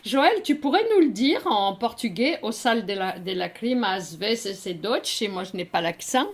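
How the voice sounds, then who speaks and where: A woman's voice: medium pitch, expressive, normal speed. A woman hosting a radio book interview, engaged and speaking directly to her listeners. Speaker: radio show host.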